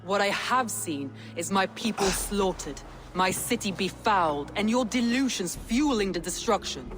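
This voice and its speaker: A woman voicing a stern, measured Danish clan leader in a cinematic video game. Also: mid-range voice, expressive, over music, natural voice. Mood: angry, accusing.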